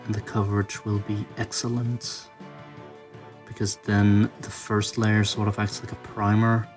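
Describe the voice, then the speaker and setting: A man giving casual, hands-on tutorial commentary as a video host, musing aloud in halting phrases. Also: lowish voice, level tone, soft background music. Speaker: toy customizing video host.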